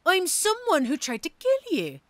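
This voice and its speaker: Female mercenary assassin for fantasy audio drama, acted by a woman: wry, teasing, street-hardened, very animated pitch, close dry recording. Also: moderate pace, dry recording, full character voice. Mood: incredulous. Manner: teasing, theatrical, sardonic. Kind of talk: audio roleplay drama acting.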